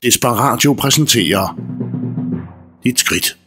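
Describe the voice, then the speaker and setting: A man's voice: medium pitch, lively intonation, lightly acted. A man delivering a mock-serious scripted radio jingle as a solemn, dramatic announcer. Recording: produced jingle over loud music. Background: music.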